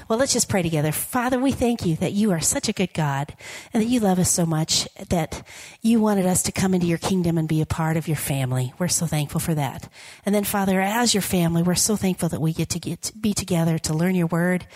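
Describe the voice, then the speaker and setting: A woman preaches to a congregation in a voice that is a little raspy and hoarse and sits on the low side. She jokes and keeps inviting the room to answer back. The sound is dry and close, with no echo. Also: darkish tone. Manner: upbeat, jokey, asks the congregation for responses. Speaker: guest preacher. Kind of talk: informal sermon with prayer. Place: church platform before a congregation.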